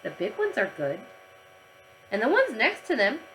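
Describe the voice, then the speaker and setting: A teasing, haggling male schoolyard teacher from a children's storybook, acted out by a woman reader. He wheedles and bargains in a bright, forceful voice with lively swings of pitch. There is some noise in the recording. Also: normal speed, heavily acted, poor audio.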